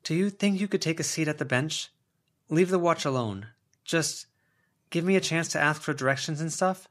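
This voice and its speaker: Male reader as worried, conciliatory young woman, gently hesitant, raised pitch, audiobook character. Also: normal speed, close to an everyday voice. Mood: apologetic. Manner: hesitant, placating.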